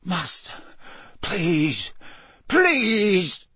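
A man's voice: high-pitched, very animated, extremely slow, vocal fry, bright, forceful tone, breathy edge, dry recording, pitched above the performer's own voice, heavily acted. A man voicing a cringing, terrified servant in an audiobook, choked with tears and whimpering as he speaks. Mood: desperate begging. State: crying. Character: cringing, sobbing, terrified male servant of dark lord. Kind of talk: audiobook character voice.

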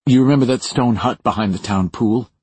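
A man voicing a haunted, defensive woman in an audiobook, urgent and halting, with a raised husky voice. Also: medium pitch, darkish tone, pitched above the performer's own voice, natural voice. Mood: uneasy, confiding. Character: haunted, defensive woman recounting a traumatic night. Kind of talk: audiobook character dialogue.

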